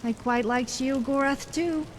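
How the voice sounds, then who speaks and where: A woman's voice: high voice, unhurried, slight background noise. A woman voicing a wry, fun-loving female adventurer companion in a game, speaking in a dry, chatty way. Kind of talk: game character dialogue.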